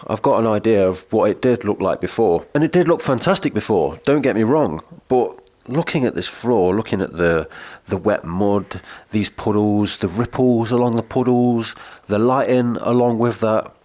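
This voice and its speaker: A British man with a cold-congested voice, giving chatty, enthusiastic gameplay commentary. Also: on the low side. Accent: British English. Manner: enthusiastic, chatty, gushing over detail. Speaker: gaming video creator.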